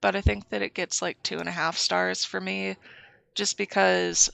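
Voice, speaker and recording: A woman co-hosting a podcast in casual chat, a bit sick, speaking slowly and deliberately while searching for words. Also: mid-range voice, level tone, vocal fry, a bit bright. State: sick with a cold. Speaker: podcast co-host.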